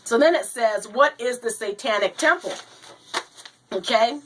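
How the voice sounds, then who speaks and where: A woman hosting a video show, slow and halting, a little hoarse from a cold, talking to viewers and reading aloud. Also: fairly high pitch, slight background noise. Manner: slow, halting, says "you know" and "now". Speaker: host.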